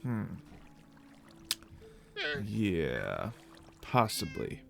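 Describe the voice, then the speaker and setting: A man's voice: on the low side, huge pitch swings, extremely slow, creaky, soft background music. A man hosting a livestreamed game roleplay, giving whimsical, rambling in-character commentary and talking to his audience in a theatrical way. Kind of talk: in-character gameplay commentary, chatting with viewers.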